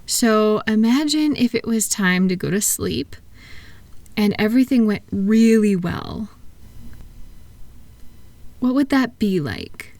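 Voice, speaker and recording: A woman speaking softly and gently, at times breathy, in an unhurried, reassuring one-sided ASMR roleplay as a calming practitioner. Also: mid-range voice, dry recording. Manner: soothing, reassuring, unhurried, asking gentle questions. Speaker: audio roleplay performer playing a thought-calming practitioner.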